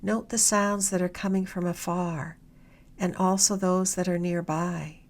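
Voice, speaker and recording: Female meditation guide, slightly low register, soft and a touch breathy, gentle unhurried delivery with long pauses. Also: fairly even intonation. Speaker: meditation guide, mindfulness mentor.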